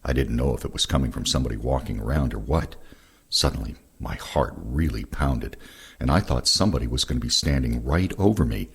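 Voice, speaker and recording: An older man with a gravelly, husky voice reading first-person war recollections aloud. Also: rumbling low, a little fast, slight background noise. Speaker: reader of veterans' oral histories.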